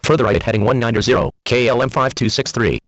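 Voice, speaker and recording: A man's voice: medium pitch, fairly even intonation, quick pace, fairly bright tone, no echo. A man speaking clipped aviation phraseology over an air traffic radio transmission. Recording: dull, band-limited air traffic radio.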